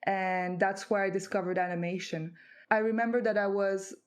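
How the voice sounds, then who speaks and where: A woman's voice: medium pitch, steady tone, normal speed. A woman from France, a native French speaker, speaking as a guest author and recounting her path in a thoughtful, reflective way with hesitant fillers. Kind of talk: conversational interview.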